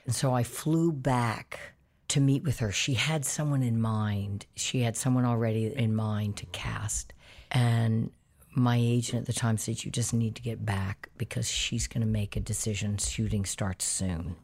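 Deep-voiced woman, candid storyteller. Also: unhurried. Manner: candid, animated storytelling with quoted dialogue. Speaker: interview guest. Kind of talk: conversational interview.

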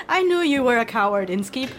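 A woman's voice: high-pitched, big pitch swings, normal speed, a bit bright, slight background noise. A woman playing a sneering, teasing witch with a mocking, playful manner in a fantasy audio drama.

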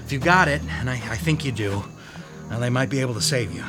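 An actor playing a calm, tough police detective who speaks in a steady, commanding way, in an old radio drama. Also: male voice, medium pitch, fast, lightly acted. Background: music.

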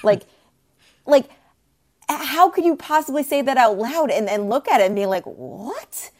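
A woman co-hosting a sports podcast, chatty and expressive, with playful asides. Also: high-pitched, unhurried. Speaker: podcast co-host. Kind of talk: casual sports talk.

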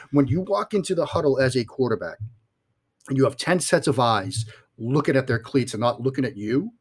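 A man's voice: mid-range voice, slightly rough. Boston-accented man talking football. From Boston area, United States. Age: 40s. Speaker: guest football analyst. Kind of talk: conversational sports analysis.

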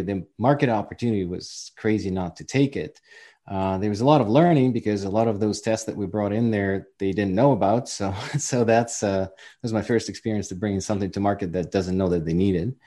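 A man's voice: on the low side, brisk, dark tone. A man in his forties from Ukraine with a Ukrainian accent, telling his career story as a guest in a casual interview, chatty and self-deprecating. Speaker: guest entrepreneur.

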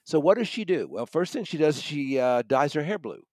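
A man with an American accent discussing books as a reviewer, halting and repeating words as he searches for phrasing. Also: on the high side, very animated, a little mellow, no echo, studio quality. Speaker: book reviewer, regular guest. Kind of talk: unscripted book discussion.

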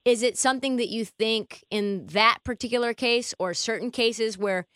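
A woman's voice: fairly high pitch, normal speed, a bit bright, dry recording, studio quality. A woman hosting a sports talk show in a conversational style.

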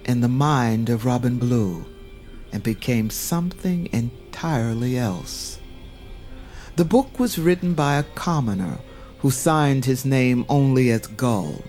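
A man's voice: mid-range voice, darkish tone. A man tells a fantasy tale as its narrator, taking his time with every phrase. His delivery is solemn and literary, in the manner of a scripted audio drama, with music faintly underneath.

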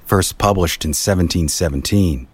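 A man's voice: low voice, normal speed, breathy edge, no echo. A man reading scripted storytelling narration in the manner of a history documentary.

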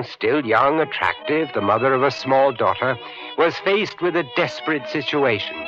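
A man narrating a radio drama in a dramatic, scripted storytelling style. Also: mid-range voice. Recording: dull vintage radio broadcast with music.

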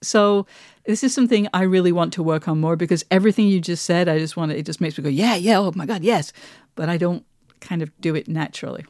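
A woman's voice: fairly low pitch, fast, darkish tone, no room sound, clean studio sound. A woman co-hosting a podcast, speaking casually and reflectively in a candid, self-deprecating way. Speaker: podcast co-host and writer.

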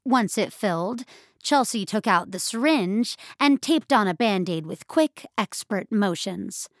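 A woman narrating scripted fiction with measured, expressive storytelling. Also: mid-range voice, no echo, clean studio sound.

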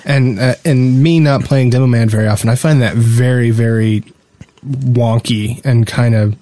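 A man's voice: medium pitch, fairly even intonation, normal speed, mellow, no echo. A man co-hosting a gaming podcast in a casual conversational style. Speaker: podcast co-host.

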